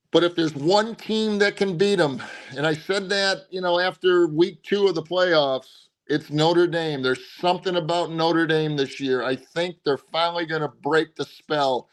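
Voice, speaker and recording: A man co-hosting a sports podcast, giving his picks in casual chat, animated and stressing points with a dropped voice. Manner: animated, drops pitch to stress points, frequent restarts.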